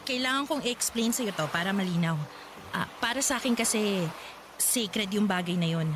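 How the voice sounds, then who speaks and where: A woman's voice: mid-range voice, moderate pace, background noise, close to an everyday voice. A woman with a Filipino accent playing a principled, reserved young woman being courted in a Tagalog radio drama, explaining herself earnestly and hesitantly.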